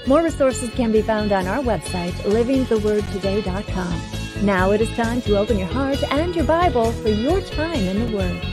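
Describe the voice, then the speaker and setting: Woman, announcer, polished, scripted, higher voice, music underneath. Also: moderate pace. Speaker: show announcer. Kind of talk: scripted radio intro. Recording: intro with music bed.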